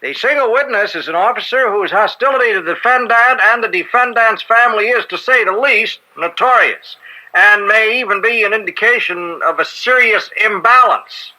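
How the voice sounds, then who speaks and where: A man's voice: sits high, normal speed, bright, forceful tone, low-quality recording. A man acting in a radio courtroom drama as a smug, slick American defense attorney, formal, pointed and oratorical. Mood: indignant, oratorical.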